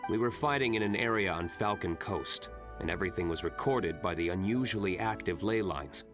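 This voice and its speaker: An actor playing a cool, self-assured young nobleman vigilante in an anime-style video game, composed, measured and reserved. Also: low voice, natural voice. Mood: serious, matter-of-fact. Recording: background music under the voice.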